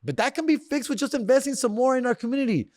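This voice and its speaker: A man's voice: extremely high pitch, lively intonation, fast talker, darkish tone, dry recording, clean studio sound. A man, hosting a podcast in a casual, slangy monologue that mixes in Spanish phrases. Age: 40s. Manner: slangy, drops bro, dog and fool, mixes in Spanish phrases. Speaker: podcast host.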